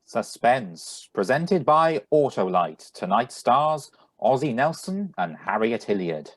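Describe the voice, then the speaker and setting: A man playing an enthusiastic 1940s radio announcer and sponsor pitchman with a husky voice, read over a video call. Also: big pitch swings, takes it slowly, dry recording. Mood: upbeat selling.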